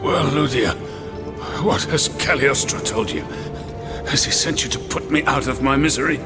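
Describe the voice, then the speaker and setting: An actor playing a dying, feverish imprisoned inventor in a video game, halting, short of breath and emotional. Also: male voice, medium pitch, loud music behind the voice, close to an everyday voice. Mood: resigned, weary. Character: frail, dying imprisoned inventor, grieving father. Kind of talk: dramatic video game cutscene acting.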